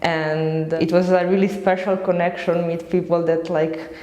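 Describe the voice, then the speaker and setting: A woman's voice: fairly low pitch, little pitch movement, unhurried, mellow. A woman of twenty-nine with a Croatian accent, giving a reflective personal account and searching for words as she goes. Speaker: interviewee giving personal testimony. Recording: echoey, reverberant room.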